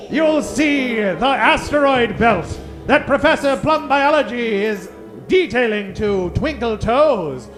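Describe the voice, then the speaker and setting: Male retro radio serial announcer, unhurried and dramatic, high voice over music. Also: a bit bright. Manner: theatrical, dramatic pauses. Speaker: radio show announcer and narrator. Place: live stage performance.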